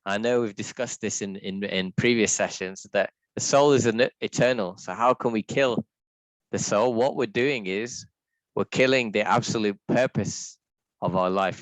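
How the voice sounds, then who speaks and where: A man with a deep voice leading a reflective scripture reading, talking in a thoughtful, halting way with restarts. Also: fairly even intonation, dry recording, rough recording. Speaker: scripture class leader.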